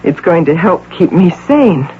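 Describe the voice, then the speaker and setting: A woman's voice: fairly low pitch, big pitch swings, measured pace. An American actress playing an imperious, middle-aged executive obsessed with motherhood, intense and theatrical in radio drama style. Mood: wistful resolve. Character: imperious, obsessive executive woman, desperately devoted imaginary mother. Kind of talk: 1980 radio drama acting. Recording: tinny, hissy old radio broadcast with hum.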